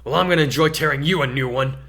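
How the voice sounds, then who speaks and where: A loud, obnoxious teenage boy drawls scornfully, as a male narrator puts him on. He is a sneering school bully from a fan story. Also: a little high, very fast, voice pushed up. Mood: sneering, hostile.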